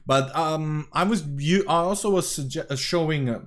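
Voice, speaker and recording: A man's voice: a little high, moderate pace. A young man from Serbia, rambling through casual reaction commentary as a streamer, swearing often and correcting himself.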